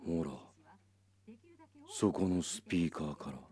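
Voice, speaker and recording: Low-voiced, down-to-earth young man. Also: fairly even intonation, measured pace, warm tone. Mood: matter-of-fact. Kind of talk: radio drama acting.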